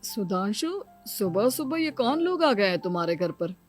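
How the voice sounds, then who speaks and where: A woman's voice: medium pitch, sing-song, normal speed, soft background music, pitched below the performer's own voice, close to an everyday voice. A woman voicing a stern, authoritative elder brother and father who lectures, in a cartoon moral story.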